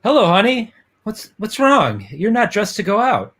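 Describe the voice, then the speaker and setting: A man's voice: high-pitched, huge pitch swings, normal speed, fairly bright tone, full character voice. A man playing a loyal young boyfriend, tender, coaxing and earnest, in a dramatic romance-comic reading.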